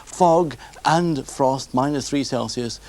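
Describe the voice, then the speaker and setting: Male weather presenter, mid-pitched voice, conversational forecast delivery. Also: slow, darkish tone.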